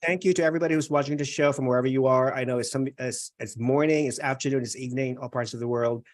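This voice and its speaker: A man's voice: mid-range voice, fairly even intonation, fast talker. Man hosting a casual video call chat. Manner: friendly, welcoming. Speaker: host.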